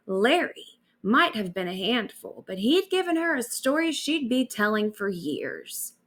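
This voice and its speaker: A woman narrates a bedtime story with a very animated, swooping delivery. She reads expressively, as a storyteller sharing a tale aloud. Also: mid-range voice.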